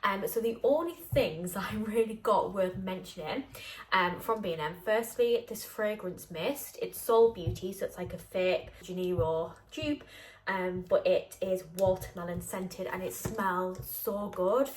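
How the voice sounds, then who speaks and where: A woman's voice: medium pitch, unhurried, a little hiss or hum, low-quality recording. A young woman, chatting casually to camera in a home vlog, rambling with lots of fillers. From North Yorkshire, England. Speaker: vlogger. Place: at home, indoors.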